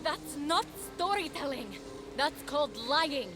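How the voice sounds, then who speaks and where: A brave young game heroine in anguish. Her voice is very high and swings widely, forceful and urgent over music. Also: lively intonation, a little slow. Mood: disbelieving. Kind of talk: video game cutscene acting.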